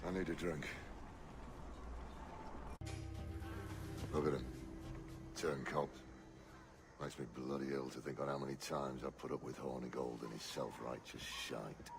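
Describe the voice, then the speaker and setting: An actor playing a coarse, hot-tempered pirate captain with a gravelly, cursing delivery. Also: male voice, a bass voice, fairly even intonation, normal speed, background noise. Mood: bitter.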